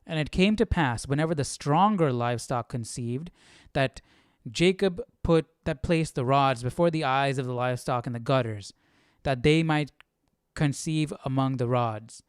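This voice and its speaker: A man's voice: medium pitch, normal speed, clear, resonant tone, dry recording, studio quality. A man hosting a live Bible study with casual, questioning commentary, thinking aloud informally.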